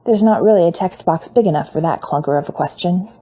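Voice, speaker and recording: A woman reading fan fiction aloud in a measured, intimate storytelling manner. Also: lowish voice, dark tone, husky. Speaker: podfic reader. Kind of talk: fan fiction audiobook narration.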